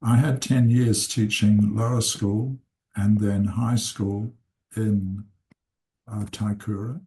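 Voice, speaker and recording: A man in his seventies, born in England and raised in New Zealand, calmly introducing himself and his life story, pausing often. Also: lowish voice, poor audio. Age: 70s. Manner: slow, unhurried, with frequent "uh" pauses. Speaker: seminar teacher and consultant. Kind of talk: unscripted personal introduction.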